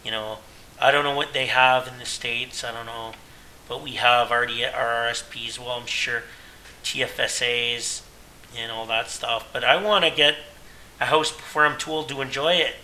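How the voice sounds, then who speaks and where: A man's voice: mid-range voice, steady tone, moderate pace, pushed and bright, slight background noise, poor audio. A Canadian man in his late thirties, talking earnestly and at length in a rambling, self-correcting personal monologue as a podcast host. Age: 30s.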